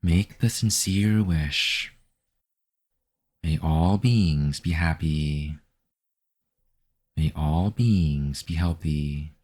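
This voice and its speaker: A man narrating a guided meditation as the guide, in a measured, instructional manner. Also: extremely low pitch, darkish tone.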